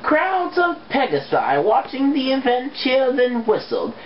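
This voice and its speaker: An amateur co-reader narrating fan fiction aloud in a deliberate, halting storybook style with swooping emphasis. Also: high voice, wildly swooping pitch. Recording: dull lo-fi mic with background noise.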